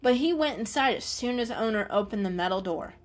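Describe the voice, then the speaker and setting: A woman's voice: fairly high pitch, animated, brisk. A woman giving a scripted, dramatic storybook narration as the storyteller.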